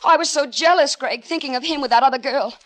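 High-pitched, melodramatic scheming wife played by an actress. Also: female voice, lightly acted. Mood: anxious, pleading. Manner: melodramatic, dramatic pauses and repetitions. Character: scheming jilted wife, volatile and theatrical. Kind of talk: 1950s radio drama acting. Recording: vintage 1950s radio broadcast recording.

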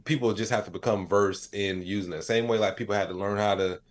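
Low-voiced man chatting casually. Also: steady tone, quick pace. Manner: rambling, slangy, frequent "you know what I'm saying". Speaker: podcast guest, AI expert and comedian. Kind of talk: casual conversational interview.

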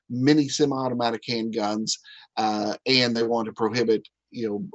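A man, an attorney guest, explaining legislation on a radio talk show in a measured way with frequent fillers. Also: medium pitch, no echo, dull audio. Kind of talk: legal commentary on radio.

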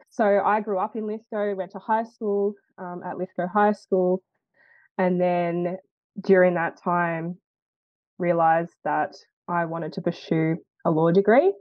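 Young Australian woman, guest, low-pitched and earnest, reflective pauses, dull dry lo-fi recording. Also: dry recording. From New South Wales, Australia. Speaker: lawyer and guest. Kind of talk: interview, personal story.

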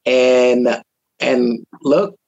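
A man from Trinidad, a guest on a talk show, relaxed in telling his own story with many fillers, speaking from his home over a video call. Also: medium pitch, very slow, gravelly, no echo, lo-fi sound. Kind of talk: personal testimony in casual chat.

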